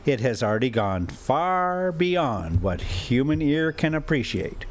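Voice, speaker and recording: A man reading a classic adventure story aloud as a storyteller, in deliberate, halting phrases with an informal touch. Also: mid-range voice, big pitch swings, a little hiss or hum. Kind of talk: audiobook-style reading with casual asides.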